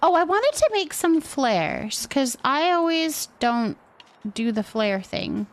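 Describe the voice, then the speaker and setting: A woman chatting casually while streaming a game, thinking out loud as she talks to her viewers. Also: high voice, very animated, slow. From California, United States. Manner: chatty, thinking aloud to herself. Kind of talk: casual gameplay stream chat.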